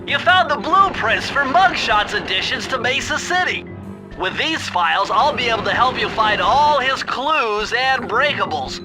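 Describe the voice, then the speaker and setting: A man's voice: extremely high pitch, lively intonation, moderate pace, over music, rough recording. A man voicing a brainy, nerdy young cartoon turtle in a video game, precise and bookish as he gives instructions. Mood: explaining.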